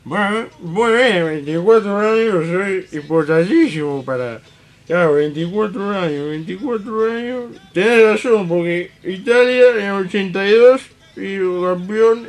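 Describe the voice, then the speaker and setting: A man's voice: high-pitched, measured pace. A man with a Rioplatense accent co-hosting comic radio chat, agreeable and repeating himself.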